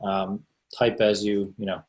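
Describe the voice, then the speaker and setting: A man hosting an online seminar, asking questions in a relaxed, conversational way with many fillers. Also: fairly low pitch, measured pace. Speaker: seminar host, interviewer. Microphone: video call.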